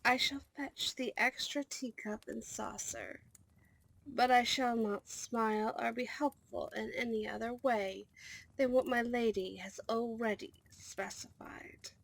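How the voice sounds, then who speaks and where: A woman's voice: on the high side, fairly even intonation, a little slow, creaky, no echo, rough recording. A woman playing a formal, deferential and protective servant to a princess in a scripted fantasy ASMR roleplay. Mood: formal, loyal. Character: dutiful, protective female servant of a vampire princess.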